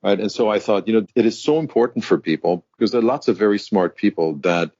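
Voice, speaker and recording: Man, deep voice, dull lo-fi sound, articulate guest. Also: fast, a little mellow, no echo. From Germany. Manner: articulate, reflective, storytelling. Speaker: guest, executive coach. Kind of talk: interview conversation.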